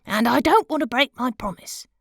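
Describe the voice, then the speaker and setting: A woman's voice: high-pitched, expressive, normal speed, a bit bright, breathy edge, no echo, clean studio sound, voice pushed up, heavily acted. A woman voicing a boastful, self-important little male butterfly in a storybook reading, puffed-up and pompous.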